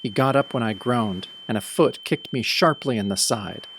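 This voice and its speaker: A man playing a brooding, tough hero who narrates an audio drama in the first person with grim, deliberate delivery. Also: medium pitch, gravelly, a bit bright, slight background noise. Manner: grim, deliberate hardboiled storytelling. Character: brooding tough male hero recounting his nightmare. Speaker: narrator and protagonist.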